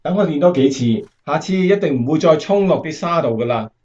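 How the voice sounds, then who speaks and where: A man acting a steady, earnest American wartime bomber captain in a radio drama. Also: on the high side, brisk, dull audio, natural voice. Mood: modest, eager.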